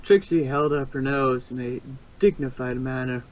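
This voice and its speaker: A man's voice: medium pitch, steady tone, normal speed, darkish tone. A man reading fan fiction aloud as narrator, informal, sometimes stumbling and rereading a phrase. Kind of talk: casual storybook narration.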